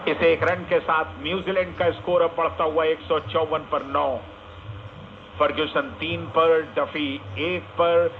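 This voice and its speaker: High-pitched man commentating cricket over crackly radio. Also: flat delivery, a little slow. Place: live sports commentary picked up on radio. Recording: noisy narrowband AM radio broadcast.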